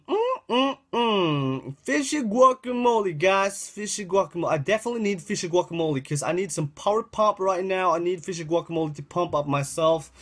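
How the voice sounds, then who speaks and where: A young man giving casual gameplay commentary, repeating himself and talking to viewers as "guys," with a dry throat, recorded indoors on a webcam setup. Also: high voice. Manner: rambling, repeats phrases, addresses viewers as guys. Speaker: gaming channel host.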